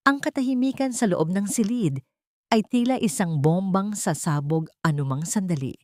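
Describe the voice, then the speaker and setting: A woman's voice: mid-range voice, moderate pace, a little mellow, dry recording, clean studio sound. A woman reading scripted dramatic story narration in a solemn, suspenseful manner. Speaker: narrator of audio drama stories.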